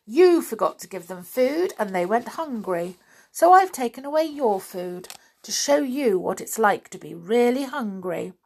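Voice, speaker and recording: A woman plays a small, kindly magical man in a children's story. He scolds gently and patiently, with a lively, rising and falling voice. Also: fairly high pitch, a little slow, creaky, slightly rough, voice pushed up, heavily acted. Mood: gently scolding.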